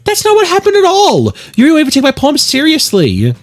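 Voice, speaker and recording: A man's voice: extremely high pitch, huge pitch swings, fairly quick, faint music behind, pitched above the performer's own voice, exaggerated voice. A man voicing a feisty, defensive teenage girl in a whiny, combative way for a visual novel game. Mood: hurt, defensive.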